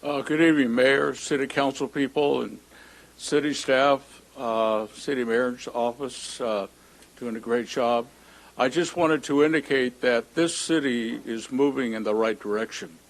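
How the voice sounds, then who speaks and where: A man's voice: medium pitch, a little slow. A man speaking during public comment at a city council meeting, hesitant with frequent fillers.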